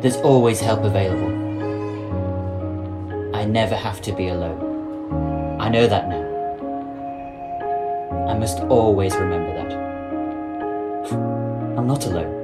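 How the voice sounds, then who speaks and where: A man acts out a desperate, broken policeman in an audio drama. He speaks slowly, as a guilt-laden man in deep despair. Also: over loud music. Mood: subdued, reflective. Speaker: actor playing a police constable.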